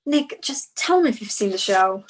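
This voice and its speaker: A woman playing an anxious young girlfriend who smooths things over, with a slightly husky voice, in a comedy read. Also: medium pitch, lively intonation, normal speed, close to an everyday voice. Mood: placating. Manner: placating. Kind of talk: comedy script table read.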